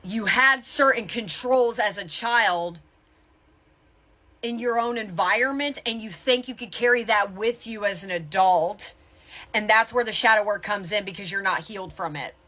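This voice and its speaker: A woman's voice: medium pitch, normal speed, pushed and bright. A woman giving motivational spiritual advice as a tarot reader, in a blunt, emphatic tough-love manner with casual swearing.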